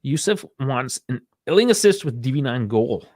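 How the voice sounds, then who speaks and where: A man's voice: medium pitch, lively intonation, moderate pace, darkish tone, dry recording. Chatty man on a dry mic. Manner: chatty, rambling, laughs often. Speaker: podcast co-host. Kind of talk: casual sports chat.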